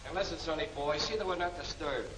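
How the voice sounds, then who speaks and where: A man's voice: on the high side, quick pace, close to an everyday voice. An actor playing a cocky gangster henchman in an old film serial, with slangy, chummy tough talk that carries menace. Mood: chummy, brisk. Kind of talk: 1940s film serial acting. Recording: noisy old film soundtrack.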